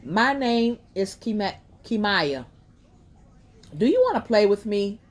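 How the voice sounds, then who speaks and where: A woman's voice: mid-range voice, very animated, slow, voice pushed up. A woman voicing a curious, playful little girl in a children's storybook. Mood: friendly, curious. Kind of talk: storybook character voice.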